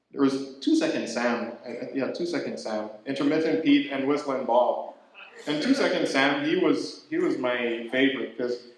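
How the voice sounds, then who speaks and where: Man doing stand-up in an echoey room. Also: mid-range voice. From Ghana. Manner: dry, halting delivery with many fillers and restarts. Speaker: stand-up comedian. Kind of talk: stand-up set. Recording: very echoey room, faint noise. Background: audience laughter.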